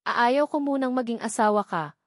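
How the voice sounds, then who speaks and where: A woman's voice: fairly high pitch, normal speed, no echo, voice pushed up, close to an everyday voice. Female reader as anxious young Filipino heroine of romance audiobook, tearful, apologetic, dry sound. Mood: hesitant, quiet.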